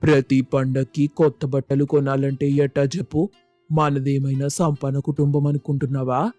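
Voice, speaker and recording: Man voicing a flat-toned, careworn father. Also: mid-range voice, normal speed, no room sound, soft background music, lightly acted. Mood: exasperated. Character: frugal, careworn village farmer father, firm but loving. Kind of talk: scripted moral story dialogue.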